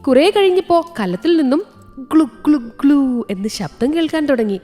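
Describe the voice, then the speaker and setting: A woman's voice: sits high, moderate pace, faint music behind. A woman narrating a scripted children's story expressively, as a storyteller. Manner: expressive, with sound words.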